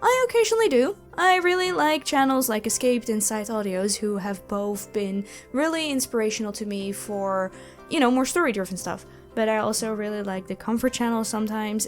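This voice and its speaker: A woman's voice: fairly high pitch, animated, brisk. A woman talking casually and animatedly to her listeners, in a self-effacing way, like a creator answering questions. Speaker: audio roleplay creator, channel host. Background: background music.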